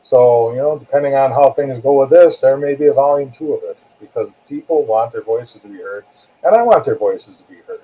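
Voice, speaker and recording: A man's voice: medium pitch, lively intonation, fairly quick, husky. A man speaking as an interview guest and author, conversational, plain-spoken and earnest. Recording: muffled, phone-like narrow sound.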